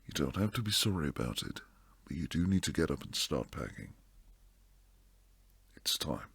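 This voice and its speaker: A man playing a protective, stern but secretly tender bodyguard boyfriend in an intimate roleplay ASMR, low and breathy, slipping into whispers. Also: sing-song, no room sound, full character voice. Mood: stern, weary. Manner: slow, hushed delivery sliding into whispers. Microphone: close, dry microphone.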